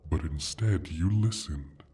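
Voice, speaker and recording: A man voicing a proud, menacing dragon guarding his hoard in a slow, theatrical fantasy roleplay, with a breathy rumble and a deliberate, condescending manner. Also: mellow. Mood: calm menace. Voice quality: husky, breathy rumble with whispered edges. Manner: deliberate, brooding, condescending, sighing.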